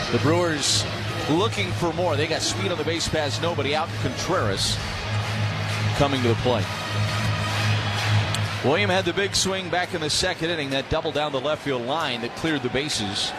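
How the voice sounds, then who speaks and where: A man with a fairly high voice calls a baseball game live on radio as the play-by-play announcer. Prominent background sound runs behind him like music.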